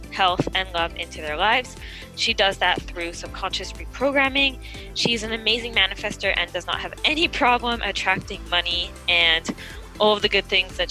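Bright upbeat woman podcast host. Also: mid-range voice. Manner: upbeat and enthusiastic. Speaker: podcast host, nutrition practitioner and health coach. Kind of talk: podcast interview hosting.

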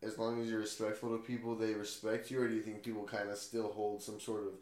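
A man's voice: fairly low pitch, monotone, fast talker, a little mellow, roomy sound. A man from the United States hosting a casual podcast, laid-back and agreeable, swearing casually. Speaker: podcast host.

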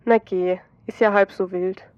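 Woman voicing a sleepy austrian ex-husband, quiet and drowsy. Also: mid-range voice, level tone, moderate pace, no room sound, lo-fi sound, natural voice. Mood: warm. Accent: Austrian German. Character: tired, sleepy ex-husband, softening.

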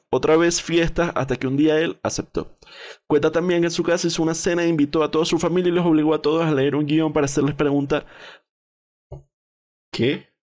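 Male podcast co-host, young, Venezuelan accent, pitched a bit above average, loose slangy gossip delivery with bits of English. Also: darkish tone. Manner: informal, slangy, peppered with English words. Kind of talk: casual gossip chat, partly reading out posts.

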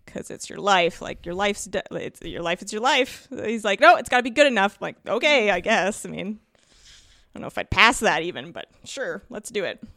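A woman's voice: medium pitch, expressive, normal speed, a bit bright, no room sound, clean studio sound. A woman guesting on a podcast, chatting casually and acting out little quotes in her anecdotes. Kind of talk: casual chat with personal anecdotes.

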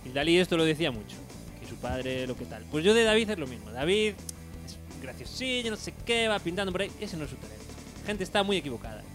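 A man's voice: fairly high pitch, sing-song, quick pace, fairly bright tone, soft background music. A man hosting a casual radio show, teasing his co-hosts with frequent laughter. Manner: laughing, teasing, leads the segment. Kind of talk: casual chat.

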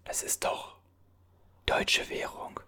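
A performer whispering as a bewildered time traveler from an older era, puzzling over strange objects in a halting voice full of restarts. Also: sharp tone. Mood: puzzled. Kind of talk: whispered ASMR roleplay.

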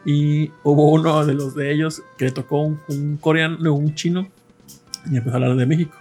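A Mexican man co-hosting a podcast with a husky, slightly raised voice. He rambles through stories with hesitant fillers while faint music plays. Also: fairly high pitch, fairly even intonation, fairly quick, darkish tone. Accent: Mexican Spanish. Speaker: podcast co-host. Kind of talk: casual chat.

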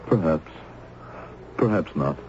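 An actor playing a solemn, stern but compassionate minister in a radio drama, speaking gravely and with measure. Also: male voice, a bass voice, animated, takes it slowly, a little mellow, full character voice. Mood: troubled. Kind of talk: old-time radio drama acting. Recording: dull, noisy old radio recording.

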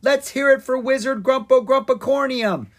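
A narrator putting on an excitable, cheeky girl dragon, pitched up. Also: moderate pace, breathy edge. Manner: excitable, taunting, yelling.

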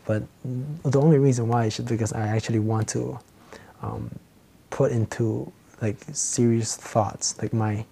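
A man's voice: mid-range voice, fairly even intonation, unhurried, gravelly, slightly rough. A teenage boy hosting a solo podcast, rambling casually with lots of fillers and restarts. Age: teens. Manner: meandering, many fillers and restarts, soft laughs. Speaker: podcast host.

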